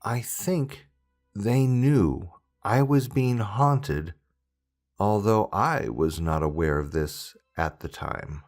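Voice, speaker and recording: Slow, dramatic male horror narrator. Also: on the low side. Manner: slow, dramatic storytelling with deliberate pauses. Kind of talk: scripted narration of a reader-submitted scary story. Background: background music.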